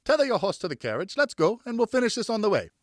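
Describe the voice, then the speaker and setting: Guy doing a wily french cognac seller for an audiobook, jolly and coaxing, swoopy pitch. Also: sing-song, fast talker, no echo, clean studio sound. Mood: jovial, boastful. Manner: exclamatory, coaxing, with French interjections. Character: jovial, wily Frenchman, a cognac maker pushing deals. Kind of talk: audiobook character dialogue.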